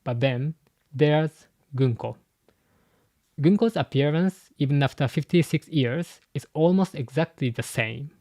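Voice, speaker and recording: A man's voice: a little high, a little slow, dark tone, no echo. Man explaining, dry sound. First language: Japanese. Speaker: YouTube host and translator. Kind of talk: scripted commentary.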